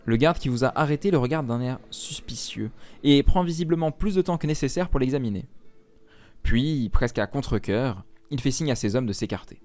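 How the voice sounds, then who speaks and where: A male storyteller reads a dark fantasy audio story in the second person. His voice sits at an ordinary middle pitch and his delivery is steady and descriptive. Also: brisk, faint music behind. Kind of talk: second-person scripted narration.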